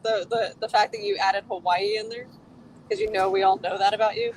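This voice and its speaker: A woman's voice: mid-range voice, little pitch movement, a little fast, slight background noise, low-quality recording. A woman chatting casually as she plays a party game with friends. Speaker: panel game player. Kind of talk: casual chat.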